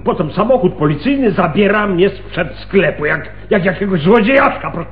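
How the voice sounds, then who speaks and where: An indignant, flustered shop owner protests and stammers in a radio crime play. His fairly high voice swings widely, and the recording is a bit dull. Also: lively intonation, normal speed, echoey room, faint music behind. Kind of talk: radio crime drama acting.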